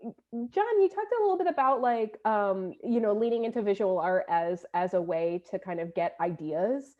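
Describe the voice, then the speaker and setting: A woman's voice: medium pitch, expressive, normal speed. A woman in a casual, informal panel conversation, posing questions as a theatre director, on slightly dull audio. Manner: informal, uses "like" often. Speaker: theatre director and producer, interviewer.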